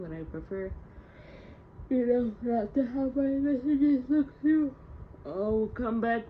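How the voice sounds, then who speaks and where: A young woman recording a casual, confessional audio diary, rambling candidly in slangy speech and sounding hurt and downcast. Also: medium pitch. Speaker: audio diarist. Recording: muffled lo-fi home recording, faint noise.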